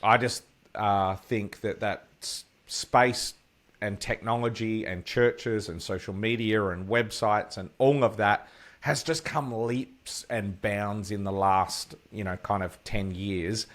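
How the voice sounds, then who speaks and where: An Australian man with a fairly low voice hosts an interview podcast. He talks in an upbeat, chatty way and fills his sentences with ums and restarts. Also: fairly even intonation, unhurried. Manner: enthusiastic, chatty, many fillers. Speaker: podcast host. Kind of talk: casual interview hosting.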